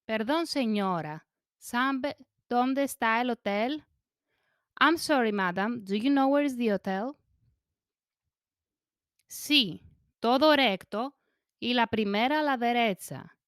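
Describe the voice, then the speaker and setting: A woman leads a language lesson, reading short phrases in a slightly high, smooth voice. She goes extremely slowly, saying each line in parts, then whole, then translated. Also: fairly bright tone, dry recording, studio quality. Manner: reads each phrase in pieces, repeats it, then gives the translation. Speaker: language teacher. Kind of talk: slow phrase-by-phrase language drill.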